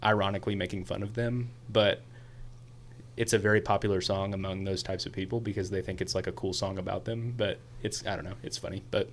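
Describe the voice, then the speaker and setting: A young man co-hosting a podcast in casual chat, an excitable storyteller who rambles, his voice a little hoarse. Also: sits low, fairly quick, a little hiss or hum. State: slightly hoarse after a concert. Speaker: podcast co-host.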